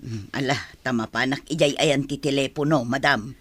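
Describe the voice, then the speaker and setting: A woman's voice: extremely low pitch, fairly even intonation, normal speed, a bit bright, husky, dry recording, heavily acted. A woman playing a snide senior housemaid in a melodramatic audio drama. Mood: obedient. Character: snide senior housemaid, obedient to her madam.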